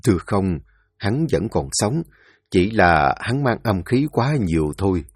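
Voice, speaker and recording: A man's voice: deep voice, sing-song, measured pace, breathy edge, dry recording, clean studio sound, heavily acted. A man voicing a deferential, hesitant older royal physician in a storybook reading. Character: humble older male royal physician, cautious.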